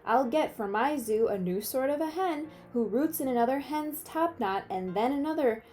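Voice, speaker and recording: A woman reading a rhyming picture book aloud in an expressive, playful manner, stretching words for emphasis. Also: sits high, normal speed. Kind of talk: storybook read-aloud of rhyming verse.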